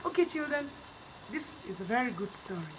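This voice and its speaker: High-pitched woman teaching children. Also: big pitch swings, fairly quick, rough recording. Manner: teacherly, repeats lessons emphatically. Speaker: storyteller leading children through a story's lesson. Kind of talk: bedtime storytelling and question-and-answer with children. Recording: clear background noise.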